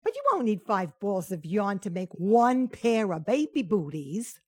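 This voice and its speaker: A woman's voice: medium pitch, very animated, a little slow, husky, no echo, clean studio sound. A woman playing a naive but cheeky household maid in an old-time radio sitcom, with guileless comic questions and remarks. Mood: puzzled, guileless.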